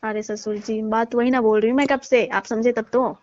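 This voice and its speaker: A young newlywed daughter-in-law, played by a woman in a phone-call drama. She complains insistently in everyday speech, feeling wronged. The sound is dry and dull, like low-grade call audio. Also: fairly even intonation, normal speed, darkish tone, no echo, natural voice. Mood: aggrieved.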